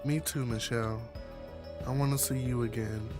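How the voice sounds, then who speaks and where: A performer reading a visual novel voices a calm, devoted young romantic partner in a gentle, measured, reassuring way. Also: medium pitch, soft background music, pitched below the performer's own voice, natural voice.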